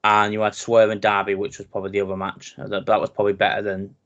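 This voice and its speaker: A man in his thirties with a very deep, low-pitched voice talks through wrestling shows with his co-host. His delivery is relaxed and chatty, and he laughs easily. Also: fast, lo-fi sound. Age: 30s. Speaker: podcast co-host. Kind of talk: casual wrestling chat.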